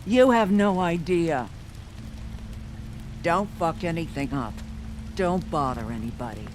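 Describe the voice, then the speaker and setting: A woman's voice: fairly low pitch, animated, slow, noisy background. Bitter, confrontational tough woman, rainy. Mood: furious, bitter. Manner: blunt, confrontational. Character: bitter, grieving, foul-mouthed tough woman. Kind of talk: video game voice acting.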